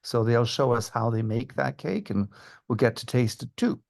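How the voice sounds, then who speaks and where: A man speaking as a tour guide and historian, giving informative, measured answers in an interview. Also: mid-range voice, lively intonation, creaky, darkish tone.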